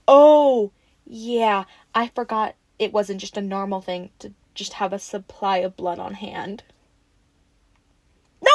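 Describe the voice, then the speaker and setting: Woman acting as a friendly excitable young woman, rambly n teasing, casual roleplay chat, super dry recording. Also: medium pitch, no echo. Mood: playfully defensive. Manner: rambling, playfully defensive, casual. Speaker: audio roleplay voice actor. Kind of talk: ASMR audio roleplay acting, conversational.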